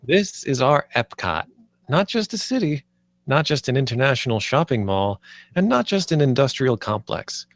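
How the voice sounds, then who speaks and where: A man's voice: mid-range voice, sing-song, normal speed, dry recording. A man playing an earnest, idealistic theme park planner in a screenplay table read, a little hesitant. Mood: enthusiastic. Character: earnest, idealistic male theme park planner named Marty, nervous, visionary.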